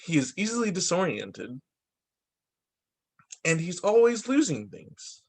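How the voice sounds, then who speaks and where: A man reading a children's book aloud as a teacher would, expressive, with deliberate emphasis and the odd halting restart. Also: high-pitched, creaky. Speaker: teacher reading picture books. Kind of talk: read-aloud story time for children.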